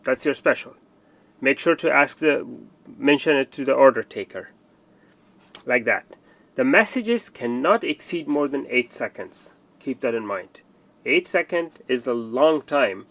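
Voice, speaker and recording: A man's voice: medium pitch, animated, unhurried, fairly bright tone. A man presenting a hands-on installation tutorial, explaining step by step and often repeating himself with fillers.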